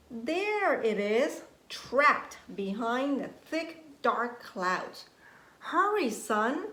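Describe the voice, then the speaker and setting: Female nursery teacher, storytime read-aloud. Fairly high voice, unhurried, expressive, engages young listeners. Roomy home recording. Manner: expressive, deliberate, prompting young listeners with questions. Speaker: nursery teacher reading stories to young children. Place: indoors at home. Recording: roomy echo, faint background noise, home recording.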